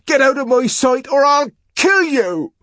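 A man playing a violent, tormented young savage man in an audiobook, shouting and ranting verse. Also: sits high, animated, slow pace, no echo, clean studio sound, voice pushed up, full character voice. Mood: enraged.

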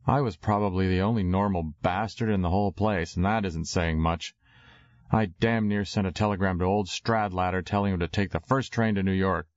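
Very deep-voiced male narrator, slangy. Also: steady tone, a little fast, no echo, lo-fi sound. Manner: slangy, wry first-person storytelling. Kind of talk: audiobook narration.

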